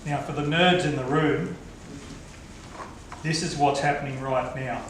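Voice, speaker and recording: A man's voice: medium pitch, steady tone, measured pace. A man moderating a forum, chatty, with light asides to the audience. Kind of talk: public speaking at a forum. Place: lecture hall. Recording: echoey hall from far off, noisy.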